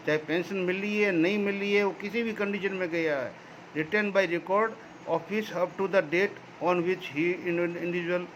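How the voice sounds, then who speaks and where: A man's voice: high voice, a little hiss or hum, rough recording. A man giving direct commentary on veterans' affairs, talking emphatically to his listeners, explaining and pleading with them and repeating a tag question as he goes.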